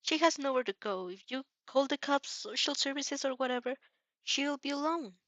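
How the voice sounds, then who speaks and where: Woman as an earnest young boy, high and bright. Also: animated, moderate pace, no echo. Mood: pleading, earnest. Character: young boy, earnest and pleading, protective of his friend.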